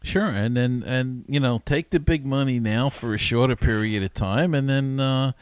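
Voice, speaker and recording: A man's voice: medium pitch, moderate pace, clear, resonant tone, dry recording. A man hosting a podcast, chatting casually about sports. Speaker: podcast host.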